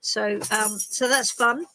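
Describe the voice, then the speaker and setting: Woman with a cold, slow rambly tarot chat, bright voice, lots of ums. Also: a little high, rough recording. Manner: chatty and rambling, with many ums and restarts. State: has a head cold, stuffy and coughing. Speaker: tarot reader hosting a live stream. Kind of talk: casual live-stream chat and card reading. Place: in the woods while travelling.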